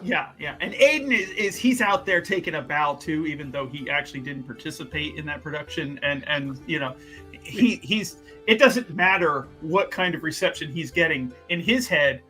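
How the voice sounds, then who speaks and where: A man's voice: fairly high pitch, animated, moderate pace, pushed and bright, faint music behind. A man playing a tabletop game, chatting animatedly about his character and laughing often. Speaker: player. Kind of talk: casual banter at a tabletop game.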